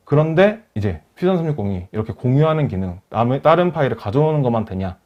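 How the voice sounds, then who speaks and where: A man presenting a software webinar, explaining step by step in polite, formal speech. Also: medium pitch, sing-song, quick pace, muffled recording. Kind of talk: software demonstration, part rehearsed narration.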